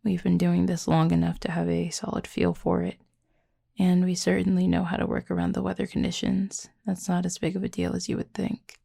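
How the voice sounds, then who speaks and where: A woman voicing a confident, flirty werewolf pack leader in an intimate audio roleplay, with a husky, breathy, gravelly voice and a teasing, sardonic drawl. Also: lowish voice, level tone, fairly quick, mellow, no room sound, studio quality, exaggerated voice. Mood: guarded, earnest.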